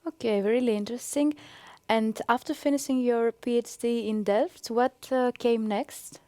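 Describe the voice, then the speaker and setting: A woman's voice: fairly high pitch, fairly even intonation, normal speed, darkish tone, dry recording. A woman hosting an academic interview, asking short friendly questions. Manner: friendly, brief prompting questions. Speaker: interview host.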